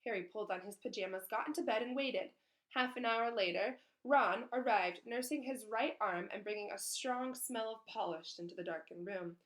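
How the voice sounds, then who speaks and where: A woman's voice: medium pitch, moderate pace. A woman reading a children's storybook aloud expressively, in the way of a teacher.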